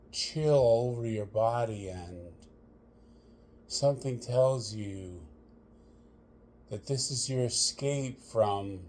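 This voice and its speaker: A man leading a guided meditation, speaking to the listener in measured short phrases with long pauses between them. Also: medium pitch, a little mellow, a little hiss or hum.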